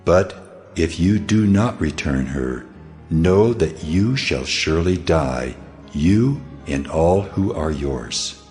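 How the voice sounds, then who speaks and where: A man's voice: low-pitched, expressive, takes it slowly, darkish tone, faint music behind. A man playing God, stern, weighty and authoritative, in a dramatized scripture reading. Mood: solemn warning. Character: God, solemn commanding male deity speaking in a dream.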